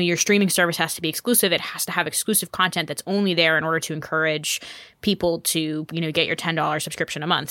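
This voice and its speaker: A woman's voice: fairly low pitch, fairly bright tone, dry recording, clean studio sound. A woman giving conversational business commentary as a podcast panelist.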